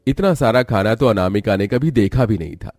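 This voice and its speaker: A man's voice: on the low side, expressive, a little fast, a little mellow, dry recording, clean studio sound, faint music behind. A man narrating a scripted story as its storyteller.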